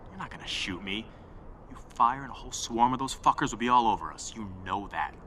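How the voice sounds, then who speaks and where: Casting: a thuggish male scavenger, taunting and threatening, very animated delivery, clear background noise, audio drama. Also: medium pitch, brisk. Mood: menacing, taunting. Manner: threatening, taunting, foul-mouthed. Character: menacing male scavenger robbing survivors for their gear. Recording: noisy scene, clearly audible background ambience.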